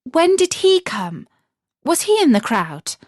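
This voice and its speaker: A woman voicing an eager, loyal little girl in a storybook, exclaiming earnestly and excitably. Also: high voice, measured pace, no echo, studio quality, pitched above the performer's own voice.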